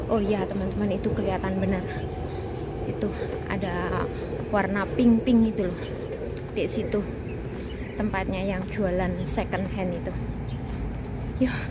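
A woman in her thirties with a Javanese accent, chatting casually to her viewers in a friendly vlog, filming on a phone at arm's length. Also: medium pitch. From Indonesia. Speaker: vlogger, migrant domestic worker. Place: outdoors by a city street and park. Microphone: phone held at arm's length. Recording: phone recording with street noise.

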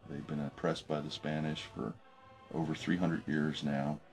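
Very deep-voiced man reflecting in his office. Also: flat delivery, a little mellow, soft background music. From United States. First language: French. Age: late middle age. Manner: thoughtful, halting, many fillers and restarts. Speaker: business professor. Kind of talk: reflective documentary interview. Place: office with desk and window.

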